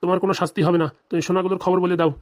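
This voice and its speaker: A woman's voice: on the low side, little pitch movement, very fast, a little mellow, natural voice. A woman voicing a weary, exasperated village police officer in a comic cartoon, nagging and accusing.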